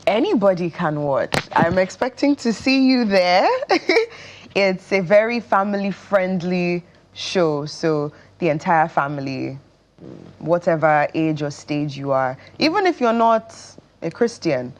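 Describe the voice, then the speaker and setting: A woman with a Nigerian accent talks in a casual interview as the guest. Her voice sits low for a woman, and she takes her time, explaining in a slow, measured way. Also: lively intonation. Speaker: interview guest, stage actress.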